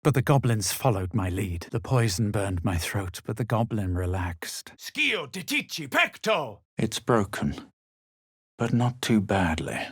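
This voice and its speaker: A haughty young adventurer from a fantasy video game. His voice is on the low side and husky, recorded bone dry. He speaks in measured, literary phrases with cool self-assurance. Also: a bit bright, no echo, full character voice. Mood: forceful incanting. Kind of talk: video game character narration and barks.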